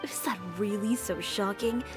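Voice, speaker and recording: An actress playing a proud, brisk young fashion designer in a video game, cool and businesslike. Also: female voice, medium pitch, natural voice. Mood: businesslike, dry. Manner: cool, businesslike, matter-of-fact. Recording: music behind the voice.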